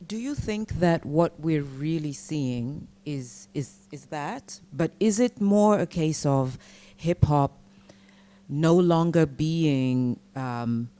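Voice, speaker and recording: A woman's voice: sits low, lively intonation, takes it slowly, warm tone. A woman interviewing onstage, putting long, probing questions to her guest. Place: conference stage.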